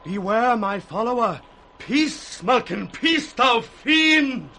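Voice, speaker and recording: Sharp-voiced raving mad beggar. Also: male voice, very high-pitched, animated, slow, heavily acted. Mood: frantic raving. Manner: chanting, breaks into sudden cries. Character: raving male mad beggar, haunted by fiends. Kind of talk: 1960s Shakespearean radio acting. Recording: faintly noisy 1960s radio recording.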